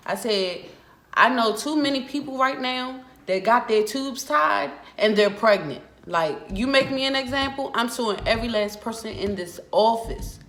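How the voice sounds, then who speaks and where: A woman in her twenties with an American accent tells a personal story in a casual, animated, emphatic and sassy vlog style. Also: on the high side. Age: 20s. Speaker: vlogger. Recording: roomy echo with music behind.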